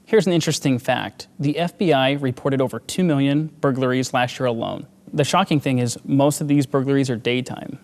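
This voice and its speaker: A man speaking as an expert guest, giving an interview-style sales pitch. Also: brisk, few pauses, darkish tone, in a large hall. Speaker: home security expert guest.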